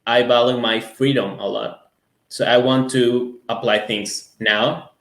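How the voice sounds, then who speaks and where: A man from Ecuador, a native Spanish speaker, giving an earnest conversational testimonial in halting phrases as an interview guest. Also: medium pitch, fairly even intonation, a bit bright. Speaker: music school owner, interview guest. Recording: roomy, echoing sound.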